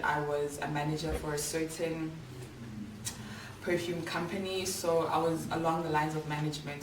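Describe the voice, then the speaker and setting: A South African woman of twenty-five answering viewer questions in a casual, candid vlog chat, full of slang and fillers. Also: sits low. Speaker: vlogger. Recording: echoey room, voice a little far from the mic, clear background noise.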